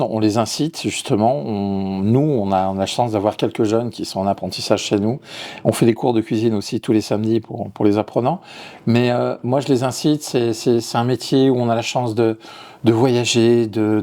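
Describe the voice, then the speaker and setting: A man's voice: medium pitch, steady tone, moderate pace. A middle-aged man from Lyon, a chef and restaurant owner, answering interview questions with frequent restarts and repeated words. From Lyon, France. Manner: hesitant, repeats words, warm about his work.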